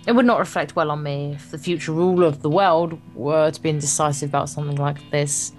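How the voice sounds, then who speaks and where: A woman's voice: low-pitched, quick pace, soft background music. A woman reading a visual novel aloud for a gameplay video, in a playful, theatrical way with crude joking asides.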